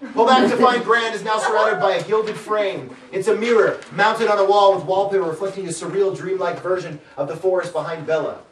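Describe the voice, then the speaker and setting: A man's voice: sits high, a little fast, few pauses, a bit bright. A man giving a jokey amateur dramatic reading of script stage directions, stumbling and breaking off to comment. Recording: roomy, far from the mic, people around. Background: other readers chattering and laughing.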